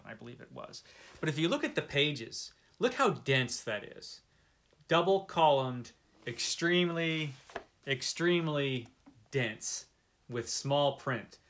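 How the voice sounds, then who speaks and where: A man's voice: mid-range voice, sharp tone. A man hosting a book vlog in casual, unscripted chat, informal and self-deprecating, drawing out words for emphasis. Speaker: book vlogger, channel host.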